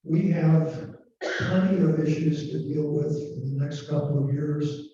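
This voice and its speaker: A man in his later years giving an earnest, measured board candidacy statement over a video call, with frequent "um" fillers. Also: fairly high pitch, steady tone, dark tone. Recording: muffled, roomy, distant call audio.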